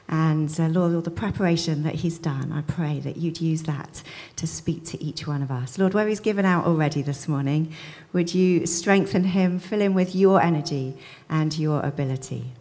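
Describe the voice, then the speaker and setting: Lady at church reading bible verses then praying, lowish voice, gentle and earnest. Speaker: church leader introducing the speaker. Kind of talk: scripture reading and prayer.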